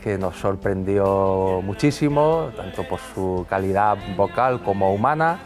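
A man's voice: sits low, expressive, measured pace, soft background music. A man in a band answering interview questions in a hesitant way, full of fillers and restarts. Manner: hesitant, many fillers and repeated words. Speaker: band member.

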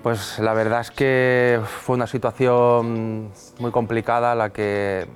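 A man's voice: mid-range voice, little pitch movement, takes it slowly, faint music behind. A man in a band answering interview questions in a hesitant way, full of fillers and restarts. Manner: hesitant, many fillers and repeated words. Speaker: band member.

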